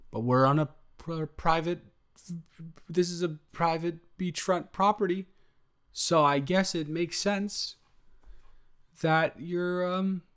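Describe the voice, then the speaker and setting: A man's voice: on the high side, close to an everyday voice. A man playing an eager, smitten young boyfriend in an improvised intimate audio roleplay, stammering and correcting himself as he goes. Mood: flustered. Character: eager, smitten, flustered young boyfriend, sweet and easily overwhelmed.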